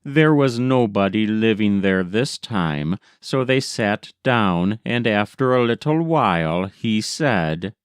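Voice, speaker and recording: A man reading a folk tale aloud as scripted narration, with measured, deliberate storytelling. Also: on the low side, expressive, clean tone, dry recording, clean studio sound. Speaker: audiobook reader.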